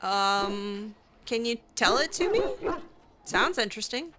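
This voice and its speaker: A woman's voice: mid-range voice, expressive, unhurried, heavy background noise. A woman improvising in character during a video game playthrough, chatty and hesitant with a cutesy touch. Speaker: roleplay gamer voicing her character.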